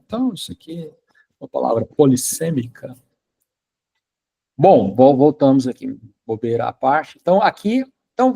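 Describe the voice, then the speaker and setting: Husky-voiced chatty male lecturer. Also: mid-range voice, darkish tone. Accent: Brazilian Portuguese. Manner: chatty, asks students if they follow, many fillers. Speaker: university lecturer. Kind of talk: informal classroom lecture.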